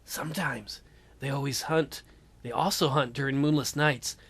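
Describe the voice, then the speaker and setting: Woman acting a visual-novel boy: ominous, hushed, pitched down. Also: steady tone, moderate pace, exaggerated voice. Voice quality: hushed, breathy. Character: hushed, ominous boy telling of night hunters. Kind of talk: visual novel voice-over put on by a let's-player.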